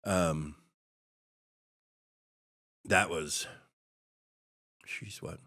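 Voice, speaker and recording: A middle-aged man from Hawaii, a podcast guest, telling a personal story in a halting, reflective way with many restarts. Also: low voice, fairly even intonation, bright, forceful tone.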